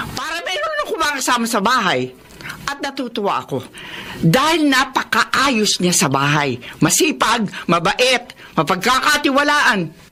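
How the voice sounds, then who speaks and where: A woman's voice: fairly high pitch, sharp tone, full character voice. A woman playing an older widowed mother in a melodramatic Filipino radio drama, firm, reproachful and plain-spoken. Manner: firm, reproachful, matter-of-fact.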